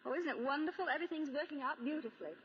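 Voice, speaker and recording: An actress playing a playful, inquisitive society wife who coaxes with questions, in 1940s radio style. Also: female voice, sits high, very fast, lightly acted. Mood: excited, urging. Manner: coaxing, pestering questions. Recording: muffled, faintly noisy 1940 radio broadcast.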